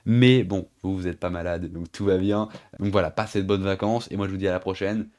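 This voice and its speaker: A man's voice: low-pitched, fairly even intonation, a little fast, darkish tone. A young man with a stuffy cold hosting a casual card-opening vlog. He chats and rambles, naming each card as he goes. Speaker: channel host. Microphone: phone camera.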